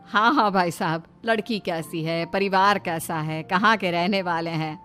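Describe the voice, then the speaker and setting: A woman's voice: lowish voice, measured pace, fairly bright tone, faint music behind. A woman voicing a bustling, bossy village mother-in-law in a cartoon story, given to exclaiming and calling out. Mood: respectful.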